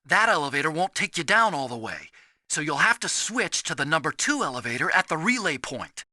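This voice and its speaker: An actor playing an earnest, anxious, soft-hearted young engineer in a video game, with a boyish, pleading way of talking. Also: male voice, fairly high pitch, big pitch swings, brisk, dry recording, clean studio sound, full character voice. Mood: cautionary.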